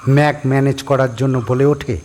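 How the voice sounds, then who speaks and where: A man narrating a horror audio story in a calm, unhurried way. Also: medium pitch, slight background noise.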